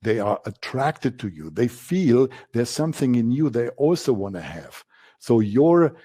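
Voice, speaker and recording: A man's voice: mid-range voice, big pitch swings, a little slow, warm tone, slightly rough. A man from Germany with a German accent, presenting a coaching masterclass as the instructor and stressing key words by repeating them.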